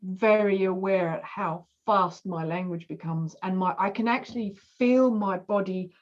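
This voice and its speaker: A woman's voice: medium pitch, a little slow, dull audio. A woman from Australia with an Australian accent, chatting casually and murmuring agreement often as she listens. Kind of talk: casual conversation.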